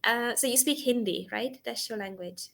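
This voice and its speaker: A woman's voice: a little high, moderate pace, creaky, crowd noise. A woman in her twenties, an English tutor, chatting casually on a video call, laughing often and asking lots of questions. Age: 20s. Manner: giggly, chatty, asks many questions.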